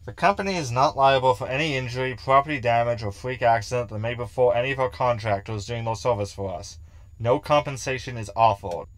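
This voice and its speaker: A man's voice: mid-range voice, a little fast, smooth, no room sound, natural voice. A man playing a jittery, sarcastic hologram crew member in a sci-fi comedy audio drama, whiny and easily flustered. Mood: sarcastic, grumbling.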